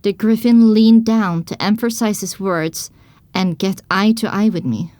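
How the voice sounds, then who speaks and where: A woman playing a pony mare who narrates her own adventure in measured, descriptive audiobook style. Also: medium pitch, a little mellow, no echo, natural voice. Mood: narrating. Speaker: first-person narrator.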